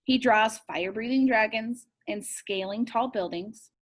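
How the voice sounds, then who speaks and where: A woman's voice: on the high side, a little slow. A woman teacher reading a picture book aloud expressively and asking young listeners questions.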